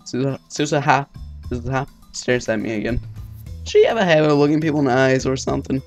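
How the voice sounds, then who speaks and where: A man narrates a visual novel in a casual let's play style. He trips over words and interjects his own reactions. His pitch is average, and game music plays behind him. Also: quick pace. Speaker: let's player. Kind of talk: reading game text aloud with casual commentary. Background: video game music.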